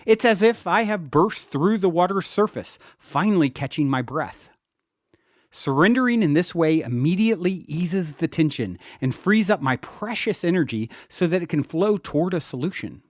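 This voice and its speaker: A man reading his own self-help audiobook in an earnest, deliberate, instructional way. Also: fairly high pitch, lively intonation, no echo, clean studio sound.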